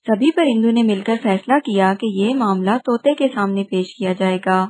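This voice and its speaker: A woman's voice: medium pitch, normal speed, darkish tone, a little hiss or hum. A woman narrating a scripted children's story.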